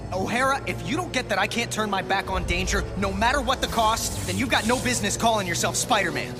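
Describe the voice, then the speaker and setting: A man's voice: sits high, fast talker, music in the background, close to an everyday voice. A man voicing a young, quippy, earnest superhero in a video game, speaking emphatically. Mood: determined. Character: young wisecracking male superhero, earnest and stubborn.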